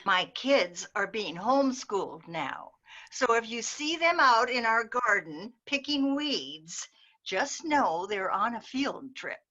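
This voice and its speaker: Slow, bright woman on dull call audio. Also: fairly high pitch, big pitch swings. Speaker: readers theater performer. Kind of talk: performed reading of jokes and stories. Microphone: video call.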